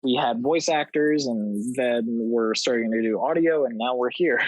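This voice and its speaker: A man's voice: mid-range voice, a little slow, dry recording, low-quality recording. A twenty-year-old man telling an anecdote in a casual, self-deprecating way as a podcast guest. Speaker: podcast guest, audio drama writer.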